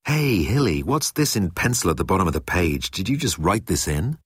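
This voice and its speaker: A man voicing a breezy, slangy alien wheeler-dealer with a slick, flattering manner in an audiobook. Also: sits low, brisk, slightly rough, dry recording, clean studio sound, heavily acted.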